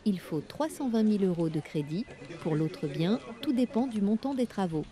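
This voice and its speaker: A woman reading everyday French dialogues for learners, with clear, careful scripted delivery. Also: mid-range voice, lively intonation, normal speed, mellow, close to an everyday voice.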